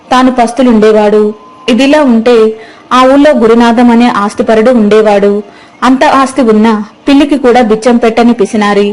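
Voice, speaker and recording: A woman's voice: a little high, darkish tone, rough recording. A woman narrating a scripted moral story as its storyteller.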